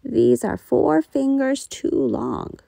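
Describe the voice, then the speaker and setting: A woman voicing a busy, loving storybook mother of a grown son, exclaiming with drawn-out emphasis. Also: high-pitched, creaky, mellow, no echo, voice pushed up, heavily acted. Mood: brisk refusal.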